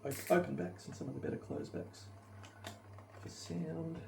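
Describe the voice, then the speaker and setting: A man's voice: a little high, huge pitch swings, moderate pace, gravelly, darkish tone. A man giving a casual, rambling commentary on gear and gameplay, hesitant and thinking aloud. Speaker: headphone reviewer and gamer. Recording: roomy, distant mic with faint background noise.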